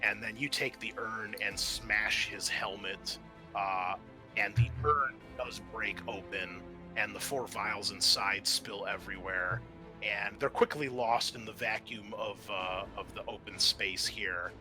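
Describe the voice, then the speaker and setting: A man's voice: on the low side, fairly even intonation, unhurried, rough recording. A man improvising narration as game master in a tabletop roleplaying game, talking casually and addressing his players directly. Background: background music.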